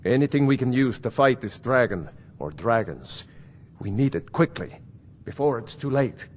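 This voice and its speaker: Male, actor playing a grave ruling lord, medium pitch, authoritative, fantasy game, light rumbling noise behind. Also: expressive, normal speed, natural voice. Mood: urgent. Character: stern, commanding male lord of a fantasy city. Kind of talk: fantasy video game acting.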